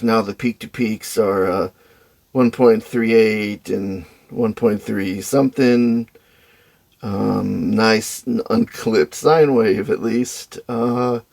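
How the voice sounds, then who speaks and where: Slow, gravelly low-voiced man thinking aloud. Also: darkish tone. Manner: hesitant, halting, thinking aloud. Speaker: host of an electronics testing channel. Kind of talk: informal technical demonstration commentary.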